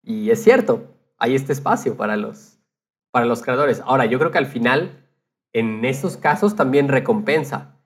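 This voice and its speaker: Mid-pitched man in casual podcast conversation. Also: expressive, moderate pace. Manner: anecdotal, retells conversations in quotes.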